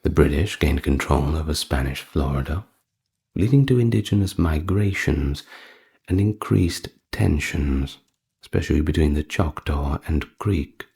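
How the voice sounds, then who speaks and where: A man narrating history in a soft-spoken ASMR style, with informal asides as the host. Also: rumbling low, lively intonation, a little slow, creaky, husky.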